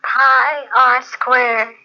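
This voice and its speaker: A woman's voice: high-pitched, flat delivery, very slow, soft background music, heavily acted. An actress playing a lovelorn female thinking machine that speaks in numbers and formulas, in an old-time radio drama. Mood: upset, reluctant. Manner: recites figures and formulas, sparse single-word answers. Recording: muffled old radio recording from cassette.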